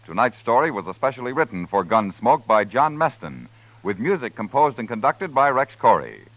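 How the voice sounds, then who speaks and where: A man's voice: mid-range voice, sing-song, moderate pace, dry recording, highs cut off. A man reading the closing credits of a radio drama in formal announcer style. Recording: dry old radio broadcast, faint music. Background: faint music.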